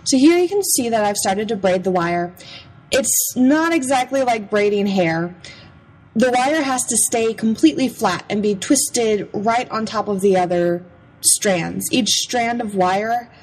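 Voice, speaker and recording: A woman's voice: medium pitch, expressive, measured pace, darkish tone, rough recording. A young adult woman giving a casual, chatty, playful voice-over about a craft process. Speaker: craft vlogger.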